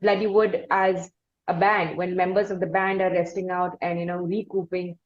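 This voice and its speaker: A woman with an Indian accent hosting an interview conversationally, building her sentences in a halting, wordy way. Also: fairly low pitch, level tone. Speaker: interviewer, host. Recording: roomy, muffled lo-fi sound.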